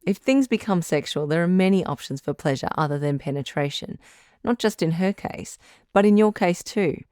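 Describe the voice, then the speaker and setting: An actress playing a calm, reassuring doctor who hosts a radio advice show, measured and frank in an audio drama. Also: female voice, fairly low pitch, clear, resonant tone, no room sound, studio quality, close to an everyday voice. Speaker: radio call-in host.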